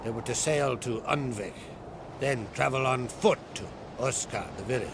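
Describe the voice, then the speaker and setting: An actor playing a proud, fatherly island jarl in a video game, speaking in a deliberate, solemn way with rustic phrasing. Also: mid-range voice, noisy background, lightly acted. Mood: weighty, concerned. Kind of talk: dramatic video game dialogue. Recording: game scene with loud music underneath.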